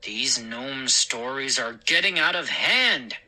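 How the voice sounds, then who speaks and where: An exasperated father from an audiobook, as a woman reader puts him on. He sounds stern and snappish. The voice is very bright and forceful, with a lively rise and fall. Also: low voice, slow pace, slightly rough, exaggerated voice, low-quality recording. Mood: fed up. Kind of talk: audiobook character dialogue.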